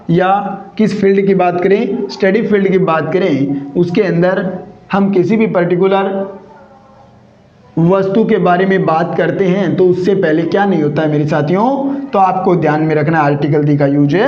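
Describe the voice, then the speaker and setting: A man teaching a grammar lesson, who asks himself rhetorical questions and answers them. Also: sits high. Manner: addresses viewers as friends, repetitive drilling. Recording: echoey room, dull lo-fi sound.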